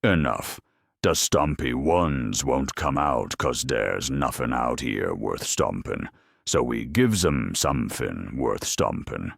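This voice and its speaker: Guy doing a big cocky ork warboss, super gravelly n husky, rough slang, audio drama. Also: extremely low pitch, animated, slow, no echo, clean studio sound, exaggerated voice. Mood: boastful rallying. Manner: rough cockney-style dropped-letter slang. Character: huge gruff ork boss, bellowing, cocky schemer.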